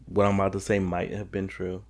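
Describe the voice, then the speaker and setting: Low-voiced man in blunt, rambling chat. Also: monotone, a little fast, darkish tone. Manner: rambling, restarts, blunt opinions. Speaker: podcast co-host. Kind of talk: casual chat.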